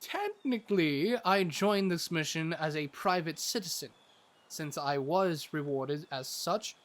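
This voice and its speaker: A man playing a good-hearted, earnest adventurer in a fantasy audio drama, with a halting delivery. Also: a little high, lightly acted. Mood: resolute. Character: good-hearted, thick-skulled married man on fantasy mission. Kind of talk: fantasy audio roleplay acting.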